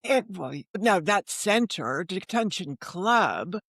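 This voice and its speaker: A woman's voice: on the low side, big pitch swings, slow pace, a bit bright, husky, dry recording, studio quality. An American woman in her seventies chatting in a casual interview, anecdotal and emphatic in how she talks. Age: 70s. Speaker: podcast guest, novelist.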